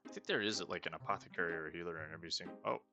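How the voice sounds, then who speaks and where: A man talks through a video game, rambling and second-guessing himself as he plays. His voice is very low and gravelly with steady fry. Game music runs clearly behind him. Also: racing. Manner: rambling, hedging, thinking aloud. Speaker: let's play host. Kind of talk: casual gameplay commentary.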